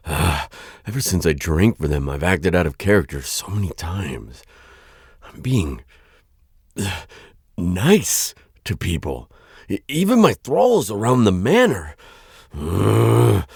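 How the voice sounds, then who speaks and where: A male actor plays a flustered vampire lord with an extremely low, husky voice. He muses slowly in halting, stammering phrases, courteous but a little aloof, with lively swings in pitch, as in an intimate ASMR audio roleplay. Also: no room sound, studio quality, exaggerated voice. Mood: musing, unsettled. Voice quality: very deep, gravelly, husky voice. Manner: hesitant, stammering, musing with sighs and trailing pauses.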